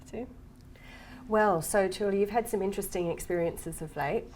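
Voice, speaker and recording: A woman's voice: medium pitch, moderate pace, darkish tone, a little hiss or hum, low-quality recording. A woman co-hosting a podcast, leading the guest with curious questions. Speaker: podcast co-host. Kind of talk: conversational podcast interview.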